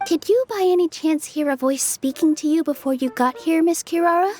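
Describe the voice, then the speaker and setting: A woman voicing a small, childlike companion in an animated game, polite and sweet-spoken. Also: very high voice, fairly quick, faint music behind. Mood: curious. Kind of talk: animated game dub acting.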